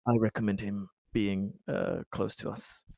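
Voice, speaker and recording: A man chatting as a player in a tabletop role-playing game, halting and often repeating his words. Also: on the low side, level tone, vocal fry, a little mellow, no room sound. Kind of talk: casual game-table chat.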